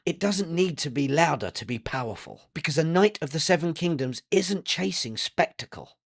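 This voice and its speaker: A man's voice: a little high, creaky, no room sound. The man's voice you would hear over an online fantasy series breakdown, dramatic and earnest, recorded dry and close. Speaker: video essay narrator and reviewer. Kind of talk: scripted dramatic commentary.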